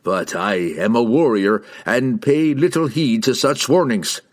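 A man's voice: mid-range voice, pitched above the performer's own voice, close to an everyday voice. A man voicing a stern, stubborn young male barbarian warrior in an audiobook, speaking bluntly and evenly. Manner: measured, blunt, even. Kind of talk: audiobook character voice.

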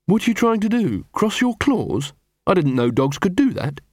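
A man voicing a wary, sharp-witted female werewolf in dog form, questioning and sardonic, for an audiobook. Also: high-pitched, big pitch swings, normal speed, no room sound, studio quality, pitched above the performer's own voice. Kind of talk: audiobook character voice.

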